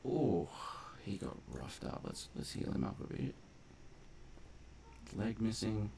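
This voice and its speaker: A man's voice: lowish voice, big pitch swings, slow, vocal fry, dark tone, slight background noise. A man giving casual gameplay commentary, thinking aloud in a laid-back, colloquial mutter. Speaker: gameplay video host.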